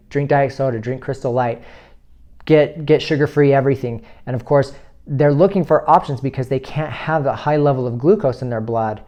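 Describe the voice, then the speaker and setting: A man's voice: medium pitch, a little mellow. A man in his late thirties giving an earnest, informal health talk to his viewers. He urges them on and reels off long lists of items. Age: 30s. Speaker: health video host.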